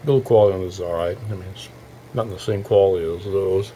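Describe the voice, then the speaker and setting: Guy with a low mellow voice doing a casual show and tell, thinks out loud, bit of noise behind him. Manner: halting, thinking aloud while showing parts. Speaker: hobbyist radio restorer presenting his project. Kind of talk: casual informal video walkthrough.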